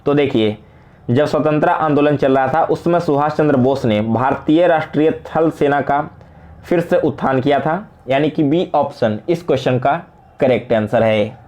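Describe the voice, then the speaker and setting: A man with a slightly high voice and an Indian accent works as an exam tutor. He poses each question, then explains the answer to his students, dropping English terms into his speech. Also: moderate pace, darkish tone. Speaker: exam-prep teacher. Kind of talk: explanatory quiz walkthrough, addressing students.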